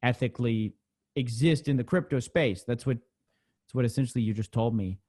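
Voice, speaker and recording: A man's voice: mid-range voice, moderate pace, warm tone, husky. A man hosting a conversational interview, speaking informally as he sums up and probes with questions. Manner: informal, summing up and probing. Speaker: interviewer, channel host.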